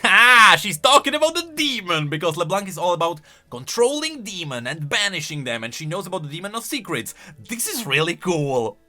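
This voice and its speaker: Casting: male game-lore host, sharp forceful higher voice, swinging intonation, excitable off-the-cuff reactions. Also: brisk, few pauses, faint music behind. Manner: excitable, emphatic.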